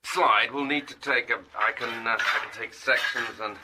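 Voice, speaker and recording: A man's voice: mid-range voice, moderate pace, close to an everyday voice. An actor playing a breezy pathologist in a radio drama, muttering broken commentary as he works. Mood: distracted, clinical. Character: breezy, distracted male pathologist at work. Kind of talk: naturalistic radio drama dialogue. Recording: very noisy room, clattering metal.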